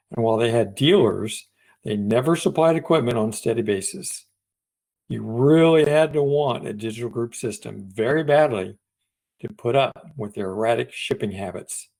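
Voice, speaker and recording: A man reads aloud from a prepared audiobook script as its narrator. His voice has an average pitch for a man. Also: darkish tone. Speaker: audiobook reader.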